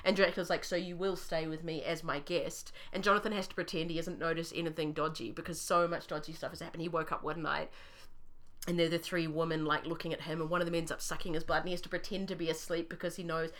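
She has a bright, slightly low voice with a croaky edge and a New Zealand accent. She chats animatedly as a podcast co-host and often breaks into laughter. She comes across as a lively storyteller. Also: fast. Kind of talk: casual chat.

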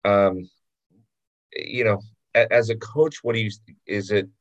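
A man's voice: fairly low pitch, steady tone, a little slow, highs cut off. A man hosting an interview and asking loose, friendly questions. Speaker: interview host. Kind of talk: casual interview.

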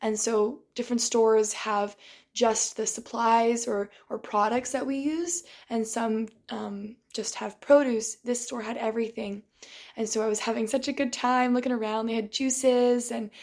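A young woman with a flat, level pitch talks in a casual, thoughtful way. Her voice sounds tired and strained from shouting. She stops and restarts often, clearing her throat between thoughts. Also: measured pace. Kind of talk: casual reflective monologue.

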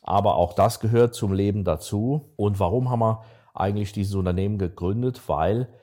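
A man in his sixties hosting a podcast, telling stories in a relaxed conversational way and acting out short quoted exchanges. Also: fairly low pitch, normal speed. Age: 60s. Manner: relaxed storytelling, mimics a bank clerk in quoted anecdotes. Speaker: podcast host, investor.

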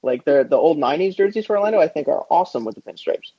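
A man's voice: on the high side, lively intonation, racing, slightly rough, no echo. A man chatting casually and informally as co-host of a sports podcast, giving his opinions. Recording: dull, lo-fi audio.

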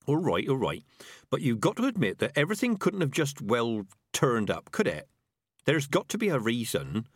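A man's voice: medium pitch, huge pitch swings, moderate pace, no room sound, voice pushed up. A man voicing a credulous, gossipy rat in an audiobook, talking persuasively and colloquially. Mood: eager, speculative.

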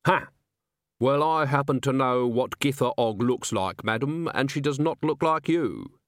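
A man's voice: mid-range voice, measured pace, no room sound, studio quality, close to an everyday voice. A man voicing a snooty, irritable male publisher, brusque and dismissive, as an audiobook character.

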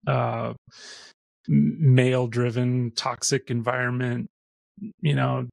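A man's voice: mid-range voice, level tone, slow pace, creaky, a little mellow, no room sound. A man in his forties, raised in Missouri, chatting as a podcast guest in a rambling, self-deprecating way. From born in Austria, raised in Missouri. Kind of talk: casual interview chat.

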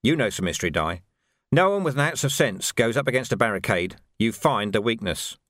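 A man's voice: medium pitch, lively intonation, brisk, a bit bright, no room sound, lightly acted. A man voicing a world-weary, authoritative watch sergeant in an audiobook, speaking tersely and with dry wit. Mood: firm, commanding. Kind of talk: audiobook character voice.

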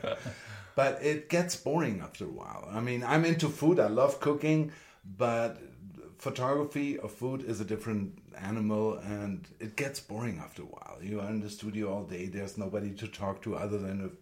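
A man's voice: fairly low pitch, lively intonation, normal speed, a little mellow. A man, a photographer guest, chatting casually and self-deprecatingly in an interview. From Germany and Austria.

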